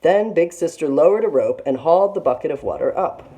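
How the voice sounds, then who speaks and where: A woman teacher reading a children's story aloud in an expressive narrating style. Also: low voice, fairly quick.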